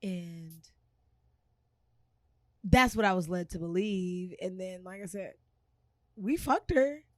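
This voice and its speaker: A woman's voice: fairly low pitch, slow pace, pauses often, a little mellow, no room sound. Woman, blunt, no-nonsense storyteller, mid voice, dry close mic. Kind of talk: casual chat.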